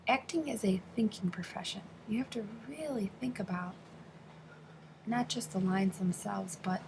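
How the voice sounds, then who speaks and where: A woman working as an interpreter, voicing an interview answer in the first person, phrase by phrase with halting delivery. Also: medium pitch, gravelly, background noise. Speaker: sign language interpreter voicing a deaf interviewee.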